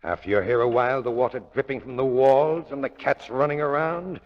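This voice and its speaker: A man's voice: medium pitch, brisk, dull audio, close to an everyday voice. An actor playing a cruel, gloating gangster villain who taunts like a tough guy in an old radio crime drama. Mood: gloating menace. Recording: old radio, husky echoing tunnel reverb.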